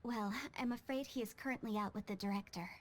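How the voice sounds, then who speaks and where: An actress playing a polite, helpful receptionist in a video game, courteous and slightly hesitant. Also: female voice, mid-range voice, fast talker, a little hiss or hum, natural voice. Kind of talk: English video game voice acting.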